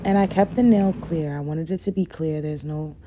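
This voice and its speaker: A young woman, a little raspy from a cold, chatting loosely through a nail tutorial and saying "like" and "guys" a lot. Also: deep voice, normal speed, background noise. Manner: rambling, says "like" and "guys" a lot. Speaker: nail vlogger. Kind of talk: casual chatty tutorial voice-over.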